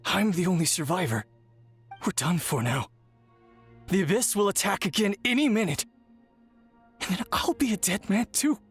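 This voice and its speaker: Husky, battle-weary warrior. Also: male voice, sits high, expressive, normal speed, pauses often, exaggerated voice. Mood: bleak despair. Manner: grave, weary. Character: battle-weary male warrior, sole survivor, grave commander. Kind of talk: video game voice acting. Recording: game music behind the voice.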